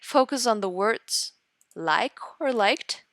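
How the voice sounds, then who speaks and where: A woman's voice: a little high, animated, fairly bright tone, no echo. Woman teacher reading aloud, dry close sound. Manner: deliberate, pausing between short phrases to guide students. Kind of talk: instructional read-aloud lesson narration.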